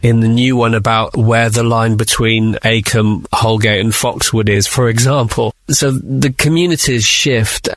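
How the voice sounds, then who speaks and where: A man's voice: mid-range voice, steady tone, a little slow, hardly any pauses, no room sound. A man who works as a writer, answering interview questions conversationally and explaining creative work.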